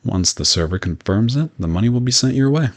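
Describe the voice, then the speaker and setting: A man playing a polite, reassuring modern vampire in a roleplay audio drama, talking gently and matter-of-factly to the listener. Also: mid-range voice, animated, fairly quick, close to an everyday voice. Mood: calm, reassuring.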